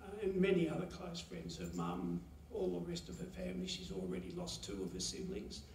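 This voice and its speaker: A man gives a halting, pause-filled eulogy for his mother at a chapel lectern. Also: high voice, very animated, creaky, a little mellow, a little hiss or hum. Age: 70s. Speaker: son giving a eulogy. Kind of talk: memorial eulogy. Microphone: lectern mic, heard from a far camera. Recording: roomy chapel echo from far off.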